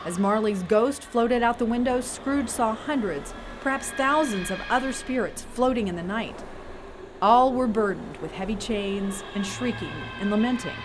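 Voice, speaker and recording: A woman's voice: fairly high pitch, normal speed, background noise. A woman giving scripted storytelling narration as the narrator of an audio theater piece.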